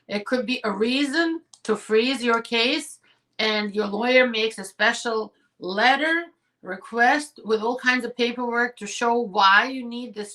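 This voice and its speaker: Woman, 40s, immigration lawyer, hoarse, losing her voice, bright tone, casual direct-to-viewer explaining, webcam in home office. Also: on the high side, poor audio. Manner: quick, conversational, addresses viewers directly with "right?" and "okay?". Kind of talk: explanatory video talk to viewers.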